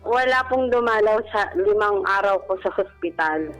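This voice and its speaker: A Filipino woman calling in to a radio advice show, telling her personal story in a halting, polite way. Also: mid-range voice, a bit bright, rough recording. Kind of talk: personal account in conversation. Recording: radio broadcast with music underneath. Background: background music.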